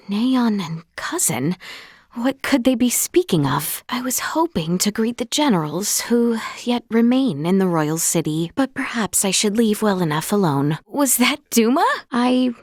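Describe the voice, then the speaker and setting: Young princess, earnest, gracious, clear voice, formal courtly speech, fantasy video game, dry close studio sound. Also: female voice, mid-range voice, lively intonation, a little mellow, dry recording. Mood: pensive. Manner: formal, archaic courtly phrasing, measured and polite. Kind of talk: scripted video game voice acting. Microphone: close studio mic, dry.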